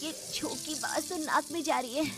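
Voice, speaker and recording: Casting: female performer as easily startled young bride, raised pitch, exclaiming, cartoon story, loud music behind. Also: normal speed. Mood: curious. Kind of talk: Hindi animated storybook acting.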